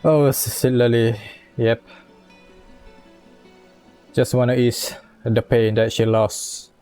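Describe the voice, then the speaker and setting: A male streamer with a mellow, mid-pitched voice talks casually through a game. His delivery is halting, with short asides, over faint game music. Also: level tone, smooth. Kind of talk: casual playthrough commentary, reading dialogue choices aloud.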